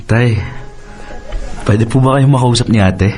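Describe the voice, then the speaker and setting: A man's voice: mid-range voice, measured pace, a little mellow, faint music behind, natural voice. A man playing a troubled, deferential young Filipino brother in a Tagalog radio drama, hesitant in manner. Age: young adult.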